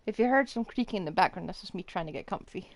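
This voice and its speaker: A woman's voice: mid-range voice, animated, very fast, no room sound. A woman streaming a video game, giving casual, dry and wry live commentary. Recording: game music behind.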